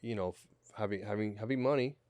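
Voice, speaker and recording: A man's voice: fairly low pitch, quick pace, a little mellow, dry recording. Late-20s male guest, thoughtful, restarts phrases; close dry studio mic. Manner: reflective, repeats words as he thinks. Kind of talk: podcast conversation. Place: small studio. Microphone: studio mic on boom arm, headphones.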